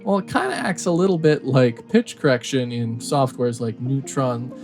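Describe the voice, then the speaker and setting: Man explaining audio software over music. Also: medium pitch, lively intonation, normal speed. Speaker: audio tutorial presenter. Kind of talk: explanatory software tutorial narration.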